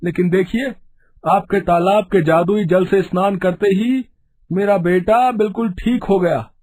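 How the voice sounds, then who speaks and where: A woman voicing an earnest, anxious village man in an animated storybook tale. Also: medium pitch, unhurried, close to an everyday voice. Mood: grateful, earnest. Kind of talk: animated storybook character acting.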